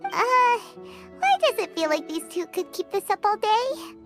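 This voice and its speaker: Tiny, extremely high, squeaky fairy companion. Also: female voice, big pitch swings, unhurried, heavily acted. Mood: baffled. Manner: refers to self in third person. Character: tiny excitable childlike floating fairy companion, cheeky and chirpy. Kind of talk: video game voice acting. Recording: game music loud behind voice.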